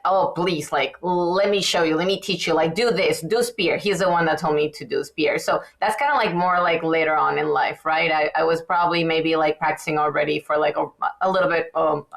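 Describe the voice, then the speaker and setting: A woman's voice: low voice, vocal fry. A woman from Venezuela, a guest dentist, talking casually in a podcast interview with lots of fillers and restarts. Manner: chatty, many fillers and restarts, animated.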